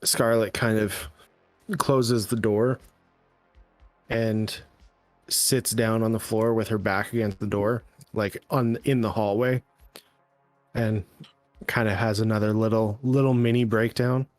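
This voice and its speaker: A man narrating as game master in a halting, hesitant way. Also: fairly low pitch, little pitch movement, no room sound, soft background music. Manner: halting, full of ums and uhs.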